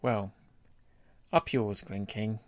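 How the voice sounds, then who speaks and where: A man's voice: fairly low pitch, fairly even intonation, slow, dry recording. A man co-hosting a podcast in casual, conversational chat. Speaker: podcast co-host.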